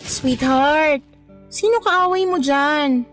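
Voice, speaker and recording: Woman doing a fed-up girlfriend, quick comebacks, high voice, radio drama w/ faint music. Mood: exasperated. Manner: exasperated, quick retorts. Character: patient young girlfriend who stands her ground. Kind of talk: Tagalog radio drama acting.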